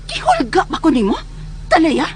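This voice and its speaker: A woman's voice: extremely high pitch, huge pitch swings, moderate pace, very noisy, heavily acted. A Filipina woman playing a domineering, possessive wealthy schemer in a radio drama, with a Filipino accent. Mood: scheming, indignant. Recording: radio drama with loud music underneath.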